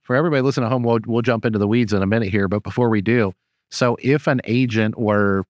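A man's voice: fairly low pitch, fast talker, dry recording. A man co-hosting a podcast asks rambling, self-correcting questions in casual talk at a studio mic. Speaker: podcast co-host.

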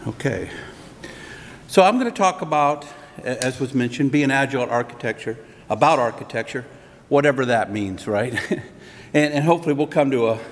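A middle-aged man speaking at a podium in a hotel conference room, giving an interactive, conversational talk as a consultant. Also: mid-range voice. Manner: conversational, restarts, laughs at own jokes. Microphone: podium mic. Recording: long hall reverb.

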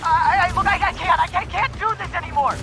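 A man's voice: very high-pitched, fairly quick, gravelly, over a noisy crowd, heavy background noise. An actor playing an anxious young male sidekick in a video game, stammering and repeating himself. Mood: panicking. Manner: stammering, repetitive. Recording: loud music over voice.

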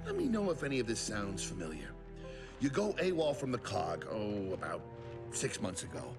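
An actor playing a smug middle-aged tech tycoon in a video game, glib and snarky. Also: male voice, fairly low pitch, wildly swooping pitch, moderate pace, over music. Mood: smug sarcasm. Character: smug, sarcastic male tech tycoon and veteran soldier.

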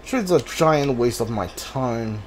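A gamer giving casual playthrough commentary, thinking aloud in halting fragments over a game. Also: medium pitch, animated, a little mellow, slight background noise. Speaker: gamer, streamer. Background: game music.

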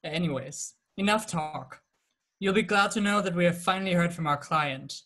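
A man's voice: high voice, moderate pace, a bit bright, slight background noise. A young man playing an impatient, old-fashioned gangster boss in a crime drama, slangy and grumbling into a laptop. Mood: impatient exasperated.

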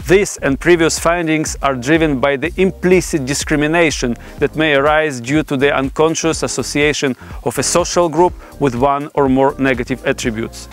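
Male presenter, 40s, voice a bit on the high side, formal academic scripted delivery, light music underneath. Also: moderate pace, few pauses. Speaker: presenter explaining research. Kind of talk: scripted explainer narration. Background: background music.

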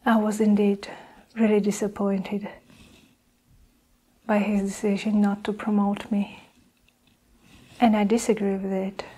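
A young woman playing a guarded, defensive nurse under questioning in an improvised ASMR roleplay, measured and halting as she justifies herself. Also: mid-range voice, completely flat delivery, warm tone, husky. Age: young adult. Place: small indoor room with curtain backdrop. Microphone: clip-on lapel mic.

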